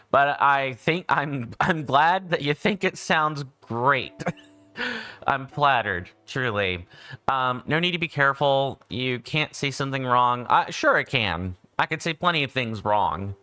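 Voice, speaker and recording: A man's voice: mid-range voice, lively intonation, unhurried, bright, forceful tone, clean tone. A man, just woken up, chatting casually as a game stream host, rambling with frequent self-corrections. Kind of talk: casual livestream chat.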